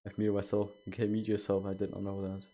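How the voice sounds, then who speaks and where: A man's voice: fairly low pitch, monotone, quick pace, mellow. A young man streaming a game, chatting casually and rambling with many restarts. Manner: rambling, self-correcting. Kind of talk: casual chat while gaming.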